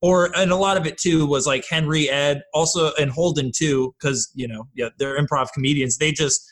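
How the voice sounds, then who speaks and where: A man's voice: a little high, steady tone, normal speed, a bit bright. A man chatting casually as a podcast guest, peppering his talk with "like" and the odd swear. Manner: swears casually, uses "like" heavily. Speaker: podcast guest, audio drama creator. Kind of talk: casual chat.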